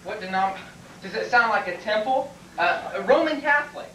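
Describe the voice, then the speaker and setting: Man acting a flustered, bright-voiced middle-aged guy on stage. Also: high-pitched, lively intonation, normal speed. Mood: flustered, indignant. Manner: hesitant, stammering, pleading. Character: flustered, evasive 48-year-old man dodging an army physical. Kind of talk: amateur stage comedy acting. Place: classroom used as a small stage. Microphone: far camera microphone. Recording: distant, echoey, faintly noisy VHS room recording.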